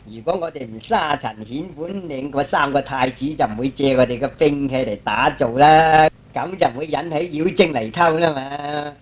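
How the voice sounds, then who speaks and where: A man playing a brash, quick-witted male monkey hero in theatrical, emphatic Cantonese radio drama style. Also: fairly high pitch, voice pushed down, natural voice. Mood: worried.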